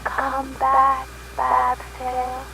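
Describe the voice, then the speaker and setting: A ghostly woman coming through a radio, high-pitched and extremely slow. Her words are halting, dreamy fragments in a radio play. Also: monotone, creaky, sharp tone, a little hiss or hum, heavily acted, low-quality recording. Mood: eerie, dreamy. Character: eerie female voice from a radio, disjointed, dreamy, ghostly. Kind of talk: live radio drama acting.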